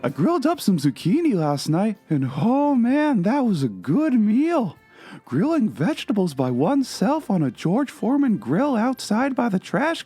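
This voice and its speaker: A man's voice: high voice, mellow, slightly rough, full character voice. A man playing a lonely, mopey cartoon blogger in a dramatic reading, droll and self-pitying. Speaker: performer in a podcast dramatic reading. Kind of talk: dramatic reading of a comic blog.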